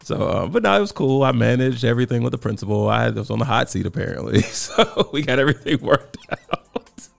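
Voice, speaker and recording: Hoarse podcast co-host, gravelly low voice, easygoing and laughing, recorded dry and close. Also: male voice, brisk, dry recording. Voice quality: raspy, worn voice. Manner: laughs often, relaxed and chatty. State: hoarse from coaching. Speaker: podcast co-host and youth basketball coach. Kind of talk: casual chat.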